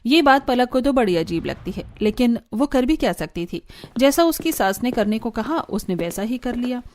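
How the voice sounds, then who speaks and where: A woman's voice: a little high, expressive, moderate pace, a little mellow. A woman narrating a scripted cartoon story.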